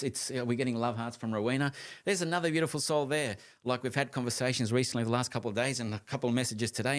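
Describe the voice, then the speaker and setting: A man in his late fifties co-hosting a casual conversation, talking in an animated, emphatic way with frequent restarts and the odd casual swear word. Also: medium pitch. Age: 50s. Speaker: co-host of a live conversation show.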